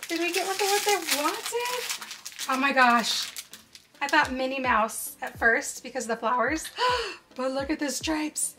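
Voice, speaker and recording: A woman's voice: high-pitched, background noise. A young woman hosting a cheerful toy unboxing, gasping and exclaiming over each toy as she opens it. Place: indoors at a tabletop set.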